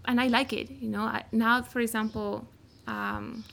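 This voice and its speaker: A woman from Mexico with a Mexican accent, a native Spanish speaker, talking reflectively as an interview guest and often pausing to search for words. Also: fairly high pitch, fairly even intonation, gravelly, a bit bright, slight background noise. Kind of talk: casual interview conversation.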